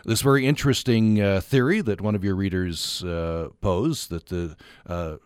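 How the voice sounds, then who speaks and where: Deep-voiced affable radio host. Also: male voice, clear, resonant tone, no room sound, studio quality. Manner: affable, halting with many fillers. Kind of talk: radio talk show hosting.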